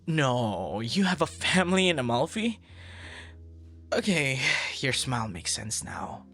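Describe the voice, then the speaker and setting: A man's voice: mid-range voice, sing-song, measured pace, a bit bright, faint music behind. A man performing an audio roleplay as a friendly, easily flustered young traveler chatting intimately and casually with a stranger, teasing and stammering playfully. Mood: sheepish, teasing. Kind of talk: intimate boyfriend-style ASMR roleplay, casual conversation.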